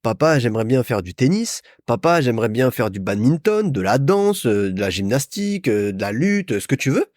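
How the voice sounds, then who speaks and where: Casting: young male podcast host from France, chatty and informal, animated intonation, dry studio-like sound. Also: medium pitch, fairly quick, hardly any pauses, dry recording. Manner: chatty, informal, addresses listener as a friend. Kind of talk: casual solo podcast monologue, storytelling.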